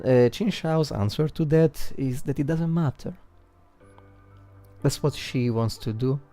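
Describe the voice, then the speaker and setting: A man livestreaming game commentary and analysis, thinking aloud haltingly and repeating words as he works out his points. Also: mid-range voice, mellow.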